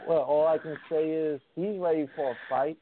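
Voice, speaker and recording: A man talks bluntly and defensively in casual chat, his voice a little high. The sound comes through thin and narrow, like a phone call. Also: steady tone, moderate pace, a little hiss or hum. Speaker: guest on call. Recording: tinny call audio.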